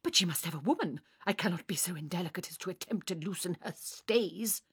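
A woman's voice: lowish voice, a little fast, a bit bright, breathy edge, no echo, studio quality, full character voice. A woman voicing a pompous, prudish, agitated German male professor in a dramatised short story. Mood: flustered, alarmed. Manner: agitated, exclamatory, self-important.

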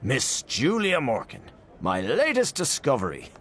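A man voicing a jovial, showman-like middle-aged gentleman with a hearty manner. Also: mid-range voice, wildly swooping pitch, a little slow, a little hiss or hum, pitched above the performer's own voice.

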